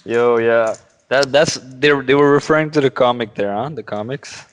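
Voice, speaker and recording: A man's voice: medium pitch, moderate pace, smooth. A man co-hosting a podcast, chiming in casually with short, slangy remarks among friends. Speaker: podcast co-host.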